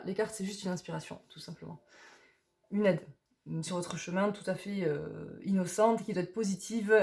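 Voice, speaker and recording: A young woman from France with a hoarse voice from a cold, chatting casually and rambling with many fillers as she presents a tarot deck. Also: lowish voice, darkish tone. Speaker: tarot reader. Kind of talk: casual tarot vlog chat.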